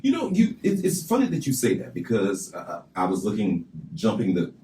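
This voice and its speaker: A man with a big, low voice hosts a radio interview from a studio, his pitch swinging widely as he talks. He speaks emphatically and conversationally, and the room adds a noticeable echo around him. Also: huge pitch swings, moderate pace, mellow, slight background noise. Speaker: radio host.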